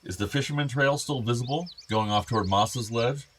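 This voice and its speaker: A man's voice: medium pitch, fairly quick, a bit bright, slight background noise, lightly acted. An actor playing an older, meddling, wistful father in a naturalistic radio play, with bookish, formal phrasing. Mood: genial. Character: older father, wistful, meddling, urging his son to marry.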